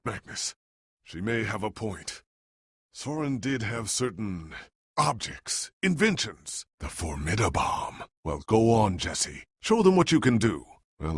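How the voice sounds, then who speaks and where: Noble old warrior hero for a video game, gravelly and super dry studio sound, grave and formal, very dramatic. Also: male voice, lowish voice, no room sound, exaggerated voice. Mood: somber, then warm. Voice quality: gravelly, husky. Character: noble ageing adventurer hero, solemn and earnest.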